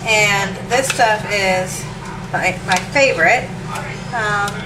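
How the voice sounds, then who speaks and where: A woman's voice: mid-range voice, slow. A woman with a stuffed-up nose from allergies, casually talking through a home recipe in her kitchen with chatty ums and asides. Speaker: home cook showing a recipe. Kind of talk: casual cooking demo. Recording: echoey room, distant mic, hiss.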